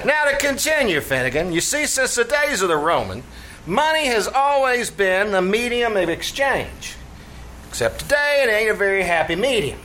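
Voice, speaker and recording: A man's voice: high voice, very animated, normal speed, sharp tone, heavily acted. A man playing a brash tavern manager in old-time radio comedy, lecturing pompously in streetwise slang. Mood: brash, animated. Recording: faintly noisy live theatre stage recording.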